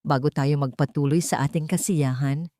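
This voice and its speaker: Woman voicing a calm, grave millionaire husband, low-pitched. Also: normal speed, dry recording, clean studio sound, close to an everyday voice. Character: calm, resolute millionaire husband exposing his wife.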